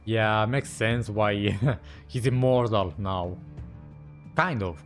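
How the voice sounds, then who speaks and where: Slow-talking casual gamer. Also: medium pitch. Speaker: gaming streamer reacting. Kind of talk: casual commentary over gameplay. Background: faint music.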